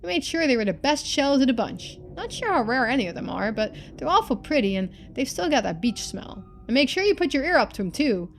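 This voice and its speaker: A woman voices a lonely middle-aged father from a story game, full of love for his daughter. The delivery is folksy and earnest, with soft music underneath. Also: high-pitched, big pitch swings, a little fast, hardly any pauses, voice pushed up. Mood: tender.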